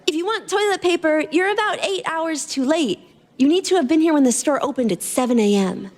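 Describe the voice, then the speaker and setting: A woman's voice: high-pitched, lively intonation, a little fast, in a large hall, voice pushed up. A woman voicing a clever, petite young woman in a story, with a teasing, matter-of-fact way of talking.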